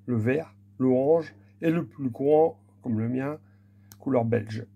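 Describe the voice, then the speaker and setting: A man's voice: mid-range voice, slow, gravelly, dark tone, slightly rough, lo-fi sound. A man informally presenting a hands-on technical demonstration, explaining plainly and a little hesitantly, without a script. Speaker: hobbyist presenter demonstrating old equipment.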